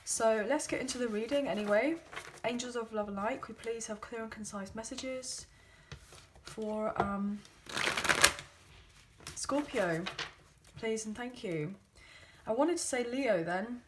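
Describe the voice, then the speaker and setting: A woman with a slightly croaky, hoarse voice, giving a chatty tarot reading into a phone, with frequent fillers. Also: on the high side, measured pace, heavy background noise. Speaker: tarot card reader.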